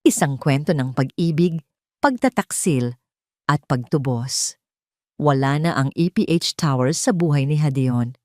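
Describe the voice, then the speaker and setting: A woman's voice: low voice, unhurried, darkish tone, no room sound, studio quality. A woman with a Filipino accent narrating a scripted dramatic story.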